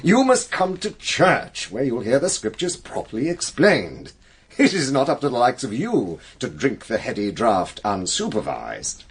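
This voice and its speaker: Condescending English village clergyman, period audio drama: declamatory, hugely swooping delivery, husky mid-range male voice. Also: mid-range voice, big pitch swings, normal speed. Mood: admonishing. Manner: pompous, preachy, declamatory. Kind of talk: period radio drama acting.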